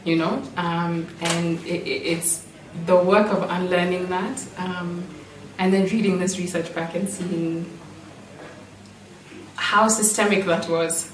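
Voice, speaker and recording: A woman with a Kenyan accent shaped by British schooling reflects in a group, halting as she thinks. Her voice sits on the low side, heard through room echo with a faint layer of noise. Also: fairly even intonation. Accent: Kenyan with British-school influence. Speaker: performer. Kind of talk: reflective personal talk in a group. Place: indoor room at an in-person group meeting. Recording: echoey room, distant mic, faint noise.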